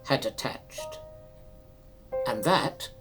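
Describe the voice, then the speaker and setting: A man reads a classic ghost story as a dramatic narrator. His delivery is slow and solemn, with measured, theatrical phrasing that gives each clause its own space. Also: mid-range voice, animated, over music.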